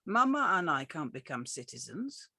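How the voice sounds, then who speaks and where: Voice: medium pitch, sing-song, normal speed, vocal fry, sharp tone, breathy edge, heavily acted. A performer playing a stern, proud, middle-aged Japanese immigrant father who grumbles in clipped broken English at a script reading. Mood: bitter, defiant. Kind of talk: script table read.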